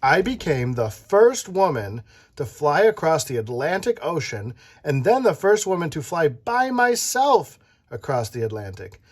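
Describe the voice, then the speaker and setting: An adult reading a children's picture book aloud, playful and animated, stopping to chat with the child listening. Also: mid-range voice, measured pace. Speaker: read-aloud storyteller.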